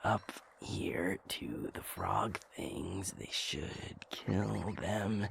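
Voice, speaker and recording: A man acting a teasing young male gamer friend in a casual audio roleplay, halting and playful, with a breathy voice. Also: low-pitched, fairly bright tone, a little hiss or hum, heavily acted. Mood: panicked. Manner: halting, playful, pleading banter.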